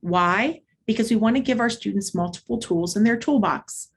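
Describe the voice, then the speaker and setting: A woman instructor giving an instructional presentation, explaining in a clear, structured way with short measured phrases. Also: on the high side. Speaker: literacy instructor. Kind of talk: instructional presentation with modeled read-aloud.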